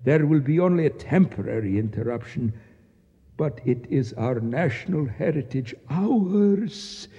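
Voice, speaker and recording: An actor playing a proud, bitter Egyptian nationalist official in a radio drama, speaking formally and precisely with declamatory flourishes. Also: male voice, on the high side, lively intonation, a little slow, dark tone, breathy edge, full character voice. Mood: fervent, declamatory. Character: proud, embittered, fanatical Egyptian nationalist official, courteous yet grim. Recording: dull sound with long echoing reverb.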